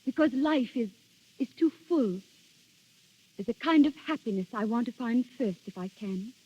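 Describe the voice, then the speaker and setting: A woman's voice: on the high side, expressive, moderate pace, darkish tone, breathy edge. An actress playing a delicate, dreamy young noblewoman, earnest and theatrical in 1930s radio style. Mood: wistful. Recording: dull 1930s radio recording.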